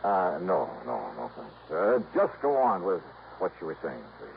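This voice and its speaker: An American actor playing a brisk, wry, persistent insurance investigator in 1950s radio detective drama style. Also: male voice, low-pitched, wildly swooping pitch, heavily acted. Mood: questioning. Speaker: lead actor. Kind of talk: 1950s radio detective drama acting, first-person narration. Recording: muffled old radio recording, clear background noise.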